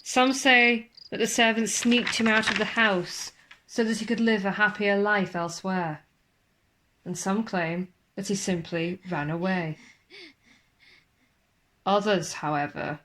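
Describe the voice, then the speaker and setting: A woman narrates a ghost story in a theatrical, suspenseful manner, with deliberate pauses and formal, old-fashioned wording. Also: mid-range voice, steady tone, pushed and bright, rough recording. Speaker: ghost walk guide and storyteller. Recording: clear background ambience under the voice. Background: spooky horror ambience sounds.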